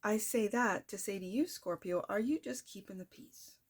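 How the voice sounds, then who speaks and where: Woman, tarot reader, soft voice, chatty and reflective, mid-range pitch. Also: normal speed, breathy edge. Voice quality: soft-spoken. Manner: chatty, reflective, addresses the viewer directly. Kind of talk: casual tarot reading to viewers.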